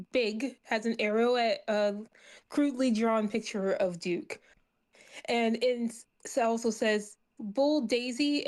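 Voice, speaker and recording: A woman's voice: medium pitch, darkish tone. A woman with a mid-range voice plays a tabletop game. She describes what her character does in a casual, playful and conversational way.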